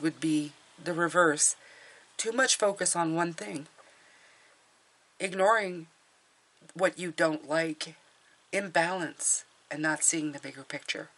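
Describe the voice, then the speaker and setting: A middle-aged woman with a hoarse voice from a cold, chatting casually through a tarot reading with fillers and restarts. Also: sits low, measured pace, pauses often, a bit bright. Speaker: tarot reader.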